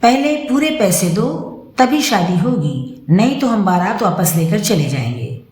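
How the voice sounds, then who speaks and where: A woman narrator voices a greedy, arrogant older man in an audio story. The delivery is slow, sarcastic and menacing. The sound is roomy and echoing. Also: mid-range voice, sing-song, a little mellow. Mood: threatening. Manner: sarcastic, threatening.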